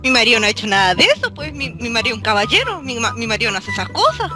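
A woman's voice: a little high, big pitch swings, very fast. A woman voicing an indignant farmer's wife with a Chilean accent, scolding on a prank phone call. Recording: music behind the voice.

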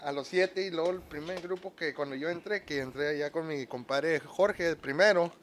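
A man from Texas, a drummer, telling stories in an animated way during a casual group interview. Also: on the high side, moderate pace, slight background noise.

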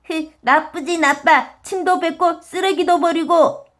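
A woman plays a grumbling nature spirit from a children's tale. The voice is high and slow, complaining emphatically with a resentful temper. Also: fairly even intonation, fairly bright tone, exaggerated voice. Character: grumbling talking tree and path, resentful of people. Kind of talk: children's storybook character voice.

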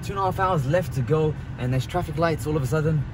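A man, casually reviewing a gadget in a jokey, excitable way from inside a car. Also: fairly high pitch, fast, music in the background. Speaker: tech reviewer. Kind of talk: casual product review vlog. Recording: noisy car cabin.

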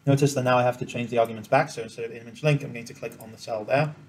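Guy doing a spreadsheet walkthrough, talks quick, mid pitch, explains step by step as he clicks. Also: steady tone, a little hiss or hum. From Cambodia. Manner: explains step by step while demonstrating. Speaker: spreadsheet tutorial presenter. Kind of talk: informal screen-share software tutorial.

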